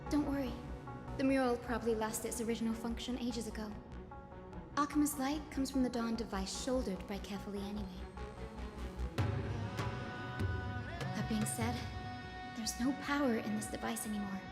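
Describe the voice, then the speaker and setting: A woman's voice: a little high, fairly quick, close to an everyday voice. A woman voicing a learned, composed young priestess in a fantasy video game, formal and articulate. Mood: calm, assured. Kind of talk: scripted video game voice acting. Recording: echoey reverberant hall, music behind.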